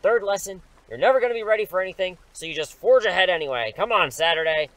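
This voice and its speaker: A man's voice: high voice, animated, fast talker, a bit bright. A man playing a confident superhero mentor in an audio drama, with a dry, self-assured, instructive manner. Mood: brisk coaching.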